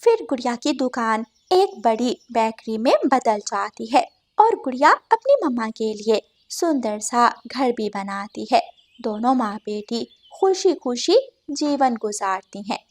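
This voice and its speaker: A woman's voice: a little high, big pitch swings, a little slow, darkish tone. A woman reading scripted narration for a children's moral story as the storyteller.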